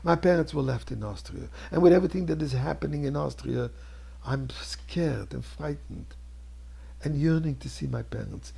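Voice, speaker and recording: A man voicing an earnest, frightened seven-year-old refugee boy in a story, pleading in a husky voice. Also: mid-range voice, lively intonation, moderate pace, mellow, exaggerated voice.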